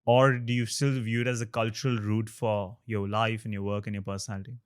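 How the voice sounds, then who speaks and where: A man hosting a podcast interview, asking measured, reflective questions. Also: lowish voice, little pitch movement, smooth. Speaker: podcast host.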